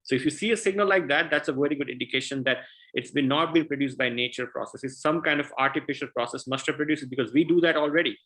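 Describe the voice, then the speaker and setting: A man's voice: fairly high pitch, quick pace, fairly bright tone. A man from India with an Indian accent, a guest researcher answering questions earnestly and often restarting his sentences. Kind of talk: explaining science in a Q&A.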